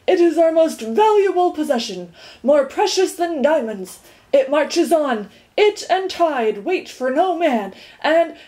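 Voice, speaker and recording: A woman's voice: fairly high pitch, lively intonation, normal speed, mellow, close to an everyday voice. A woman voicing an earnest male watchdog who declaims grandly and gets carried away. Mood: declaiming. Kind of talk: audiobook character voice.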